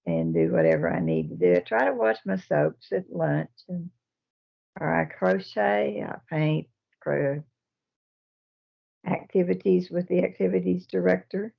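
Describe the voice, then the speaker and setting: Older lady, real gravelly voice, talks slow with lots of uhs, dull lo-fi sound. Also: lowish voice, big pitch swings. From Texas. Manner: slow and halting, with many pauses and fillers. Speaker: nursing home resident and resident council president. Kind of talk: casual interview answers. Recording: dull lo-fi recording.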